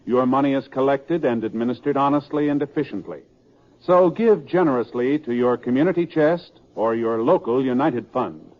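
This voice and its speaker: An American man reading a script as a formal, measured 1950s radio announcer. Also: medium pitch. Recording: muffled old radio recording with music.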